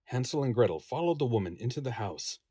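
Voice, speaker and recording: A man's voice: medium pitch, very animated, quick pace, dark tone, husky, dry recording, studio quality. A man reading scripted audiobook narration as a voice-over narrator.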